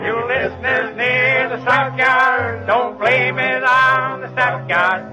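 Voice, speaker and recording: A folksy cowboy radio host played by a man, pitched very high with swooping intonation. He is a jokey pitchman, drawing his words out slowly over prominent music. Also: fairly even intonation, rough recording. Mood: jolly. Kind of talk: mock cowboy radio announcing.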